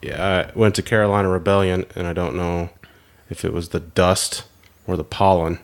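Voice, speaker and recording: A man's voice: low voice, flat delivery, moderate pace. Nasal-sounding man. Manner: chatty, inquisitive. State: nasal from allergies. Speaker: podcast co-host. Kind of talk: casual podcast chat.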